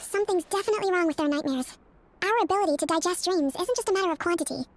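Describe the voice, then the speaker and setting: A woman voicing a calm young dream-eating spirit therapist in a video game, composed, articulate and reassuring. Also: very high-pitched, very fast, a little hiss or hum. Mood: grave. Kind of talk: video game character acting.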